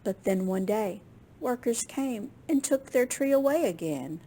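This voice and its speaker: A woman with a slightly husky, gravelly voice reading a picture book aloud to young children in an expressive way. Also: fairly high pitch, a little slow, slight background noise. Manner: expressive, with gasps and questions to young listeners. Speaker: children's librarian storyteller.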